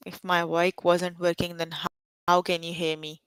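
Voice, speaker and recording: A woman's voice: on the low side, level tone, normal speed, a little hiss or hum, low-quality recording. A young adult woman teaching a live online math lesson over a computer mic, stopping often to check that students follow. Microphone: computer mic on an online call.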